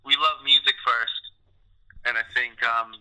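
Very bright, forceful man chatting informally. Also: mid-range voice, steady tone, measured pace, rough recording. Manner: informal, rambling, repeats himself. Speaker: band musician being interviewed. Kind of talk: casual interview chat. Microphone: telephone.

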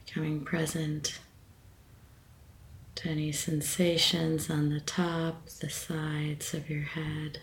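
A woman leading a guided meditation with a gentle, soothing manner and measured phrasing. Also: sits low, monotone.

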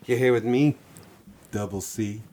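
Very deep-voiced young man joking around. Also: a little slow, a little mellow. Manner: jokey, crude, riffing. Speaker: podcast co-host. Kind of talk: casual chat.

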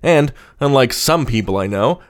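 A man's voice: medium pitch, animated, moderate pace. A man hosting a podcast, articulate and wordy, moving between scripted intros and conversational interviewing.

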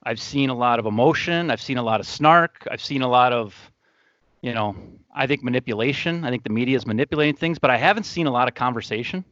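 A man's voice: medium pitch, fast talker, a bit bright, no room sound, muffled recording. A man hosting a podcast in a casual, earnest, conversational way. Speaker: podcast host.

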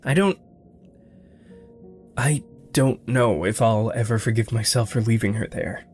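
Man acting a wounded young man, mid-range voice, hesitant, introspective, gentle, faint music behind, audio drama. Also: medium pitch, measured pace, close to an everyday voice. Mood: conflicted, guilty. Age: young adult.

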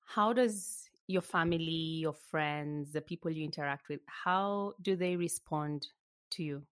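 Woman interviewer, very low pitch, calm measured questions, dry close sound. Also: clear, resonant tone, no room sound.

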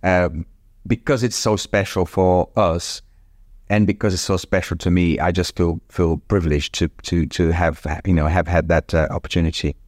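A man, a former ballet dancer who now teaches, talking in a reflective conversation, halting often and restarting his sentences. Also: low voice, steady tone, dry recording, studio quality. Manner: halting, with frequent restarts and laughs.

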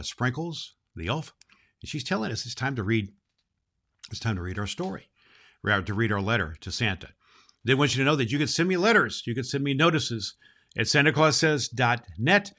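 A man's voice: fairly low pitch, fairly bright tone. A man playing a jolly, kindly old Santa Claus, reading and hosting for children with emphatic affection. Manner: emphatic, affectionate, addressing boys and girls. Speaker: storybook reader and show host.

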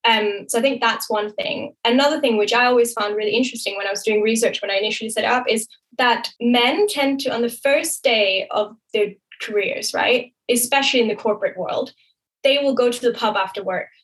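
A young woman with a somewhat high voice speaks freely as a podcast guest. She talks in a casual, chatty way, often tacking "right?" onto her points and laughing lightly as she goes. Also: a bit bright, low-quality recording. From Thailand. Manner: chatty, frequent "right?" tags and quick laughs. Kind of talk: casual interview talk.

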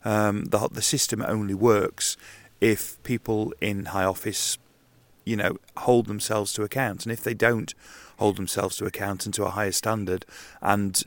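Croaky, nasal man rambling outdoors. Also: fairly low pitch, level tone, normal speed, no echo. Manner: rambling, conversational, frequent ums and restarts. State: croaky and nasal from hay fever. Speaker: podcast host. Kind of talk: casual solo podcast monologue. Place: outdoors in a garden.

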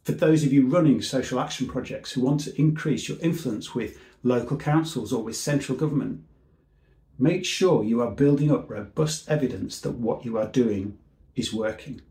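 A man who works as a civil servant, giving an earnest, measured seminar talk that trails off at the end of each phrase. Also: mid-range voice, mellow, echoey room.

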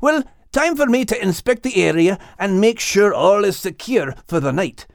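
A hearty Scottish watchdog acted out by a woman in a children's audiobook. He is protective and plainspoken, with a brisk delivery and a Scottish accent. The pitch is raised and lively, the sound close and dry. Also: vocal fry, dry recording. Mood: vigilant.

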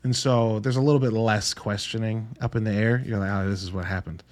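A man's voice: lowish voice, steady tone, fairly quick, darkish tone. A man hosting a podcast, giving a casual, conversational solo film review in a blunt, casually profane way with rhetorical asides. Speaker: podcast host reviewing films.

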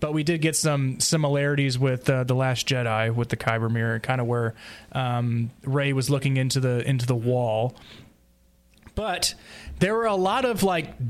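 A man hosting a casual podcast roundtable, speaking in a halting, self-deprecating way. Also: medium pitch. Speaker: podcast host recapping an audiodrama.

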